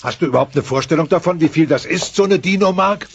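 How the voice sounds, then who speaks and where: A man's voice: on the high side, quick pace, a little hiss or hum, natural voice. An actor voicing a pompous, self-satisfied middle-aged dinosaur father who lectures in a German cartoon audio play. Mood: blustery lecturing. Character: blustery, self-satisfied dinosaur dad, stingy and preachy. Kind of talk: German children's audio drama, cartoon adaptation. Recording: dull cassette audio drama, music behind.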